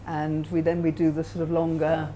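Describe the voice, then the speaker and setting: An older woman, a hotel owner, talks in a measured, chatty way with frequent hesitations and restarts while showing a guest around. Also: deep voice, completely flat delivery. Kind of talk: casual tour and interview. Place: outdoors and indoors at a country hotel. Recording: faint background noise.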